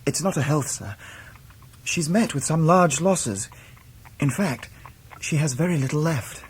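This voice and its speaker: An actor playing an earnest, polite, lovestruck young English gentleman in a period radio drama. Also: on the high side, expressive, unhurried, a little hiss or hum. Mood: polite, anxious.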